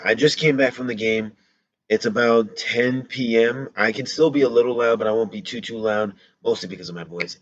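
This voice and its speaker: A hoarse young man hosting a casual trailer reaction, breaking into excited rapid exclamations. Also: mid-range voice. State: hoarse from yelling.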